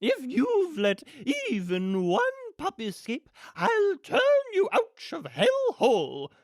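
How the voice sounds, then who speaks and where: A woman's voice: high-pitched, wildly swooping pitch, slow, a little mellow, dry recording, studio quality, pitched above the performer's own voice, heavily acted. A woman voicing an imperious, gleefully murderous rich villainess from a storybook, with a haughty, theatrical, commanding manner. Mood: gleefully menacing.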